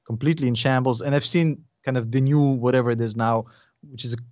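A man's voice: medium pitch, quick pace. A man in his forties with a thick Russian accent chats as a podcast guest. He rambles, restarts his sentences and laughs a lot. His voice sounds dry and a little dull, with no room echo. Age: 40s. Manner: animated, rambling, laughs often. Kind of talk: casual interview chat.

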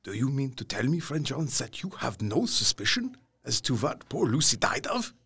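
An actor playing a kindly, earnest old professor, speaking formally and emphatically in an audio drama. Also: male voice, medium pitch, big pitch swings, normal speed, vocal fry, a bit bright, breathy edge, heavily acted. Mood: probing, insistent. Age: older. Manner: emphatic, quaintly formal phrasing.

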